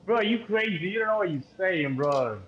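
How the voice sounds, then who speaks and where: Young Cuban man, high voice, animated chatty guest, echoey muffled room sound. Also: normal speed. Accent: Cuban Spanish. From Cuba. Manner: animated, repeats words, heavy on "like" and "you know". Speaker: podcast guest. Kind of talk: casual chat. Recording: dull echoey room audio with faint hiss.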